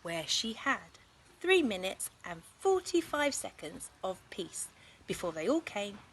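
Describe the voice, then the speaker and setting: A British woman narrating a children's story in an expressive, clearly paced teacher's manner. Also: mid-range voice, a little slow, slight background noise, poor audio. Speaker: assistant head teacher reading a story.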